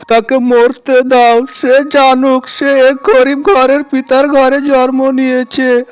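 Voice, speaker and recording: A man's voice: very high voice, no echo, heavily acted. A man playing a desperate poor father in a cartoon melodrama, pleading earnestly. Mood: anguished, bitter.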